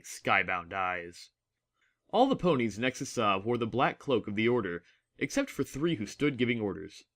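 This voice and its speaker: A man's voice: fairly low pitch, sharp tone. A man reading scripted story narration as the narrator of a dramatic audiobook.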